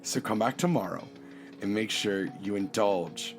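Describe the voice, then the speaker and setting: A man's voice: fairly low pitch, unhurried, gravelly. A man reading a scripted introduction and credits as a podcast announcer. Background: prominent music.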